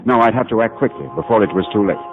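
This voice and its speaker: Actor as low-voiced, probing radio-drama psychiatrist. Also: male voice, animated, phone-quality sound, lightly acted. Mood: grave, urgent. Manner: measured, insistent, deliberate. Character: calm, probing male psychiatrist, earnest and authoritative. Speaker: actor playing a doctor who also narrates. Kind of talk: 1950s radio drama acting, narration and hypnotic questioning. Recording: band-limited 1950 radio broadcast with music.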